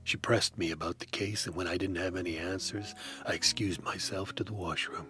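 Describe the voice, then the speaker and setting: Deep-voiced deadpan noir narrator, man. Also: a little fast, faint music behind. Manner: dry, deadpan, wry. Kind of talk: hardboiled detective noir narration.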